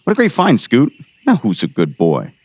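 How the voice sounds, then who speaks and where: A man playing a folksy, earnest small-town sheriff in a comic audio drama, animated and urgent. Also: medium pitch, moderate pace, husky, dry recording. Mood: praising.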